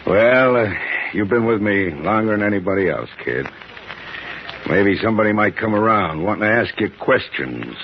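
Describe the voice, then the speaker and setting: An actor playing a tough, suspicious gangster boss, brusque and slangy, in 1950s radio crime drama style. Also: male voice, lowish voice, expressive, normal speed. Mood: subdued. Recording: noisy, muffled 1950s radio recording.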